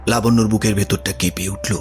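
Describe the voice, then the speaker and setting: A man's voice: on the low side, steady tone, brisk, soft background music. A man narrating a scripted literary story expressively, as the narrator of an audio drama.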